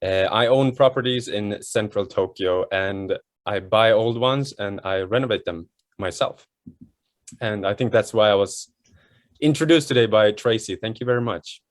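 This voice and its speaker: A young Swedish man chatting casually as an interview guest, relaxed and full of fillers, with a Swedish accent. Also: on the low side, moderate pace, dry recording. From Sweden. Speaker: guest, model and home renovator.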